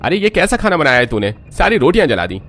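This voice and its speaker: A man voicing a short-tempered, bullying husband who scolds loudly with contempt, in a cartoon drama. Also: on the high side, very animated, quick pace, exaggerated voice. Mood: angry, contemptuous. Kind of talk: cartoon story voice acting. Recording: background music under voice.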